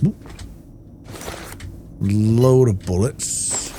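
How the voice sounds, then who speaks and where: A man's voice: lowish voice, very slow, dark tone, slight background noise. A man giving casual gameplay commentary, thinking aloud in an informal way. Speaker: gaming video host.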